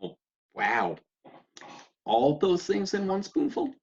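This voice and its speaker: A man's voice: sits high, wildly swooping pitch, slow, vocal fry, husky, full character voice. An actor playing an awkward, polite young man, hesitant and baffled, in a comedic script read over a dull connection. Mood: puzzled. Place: recorded remotely at home on a video call.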